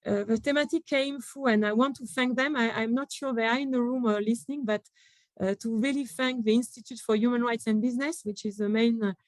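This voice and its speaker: A woman's voice: fairly high pitch, no echo, low-quality recording. A woman from France with a French accent, speaking on a conference panel with many fillers and restarts.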